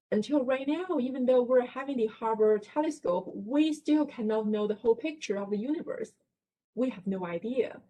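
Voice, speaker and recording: Slow-paced Chinese-accented woman lecturing. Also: fairly high pitch, mellow, rough recording. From China. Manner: explains step by step, checks in with "right?"; slips in light laughs. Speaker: guest lecturer. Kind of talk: library book talk presentation.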